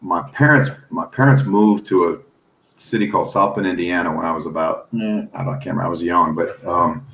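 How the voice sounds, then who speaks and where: A middle-aged American man, a football coach and analyst, telling stories in an animated, folksy way and laughing along. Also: low-pitched, a little fast, gravelly, echoey room, slight background noise, poor audio. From United States. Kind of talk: casual storytelling on a podcast.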